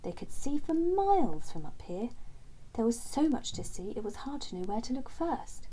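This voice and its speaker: A woman reading a children's bedtime story aloud in a gentle, expressive storytelling way. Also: fairly high pitch, moderate pace, a little hiss or hum, poor audio. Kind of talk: scripted children's story narration.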